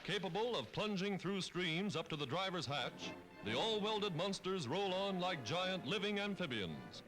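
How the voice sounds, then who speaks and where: Old 40s newsreel announcer guy, american, dramatic and high voiced, music playing behind him. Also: sing-song, a little slow, fairly bright tone, poor audio. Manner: dramatic, emphatic, declamatory. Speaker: newsreel narrator. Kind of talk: 1940s newsreel scripted narration. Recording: vintage 1940s newsreel soundtrack. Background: orchestral music.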